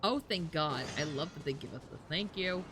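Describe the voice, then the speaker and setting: Animated woman streamer reacting to a game. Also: sits low, moderate pace, noisy background. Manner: excitable, slangy, repeats words. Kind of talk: casual live commentary.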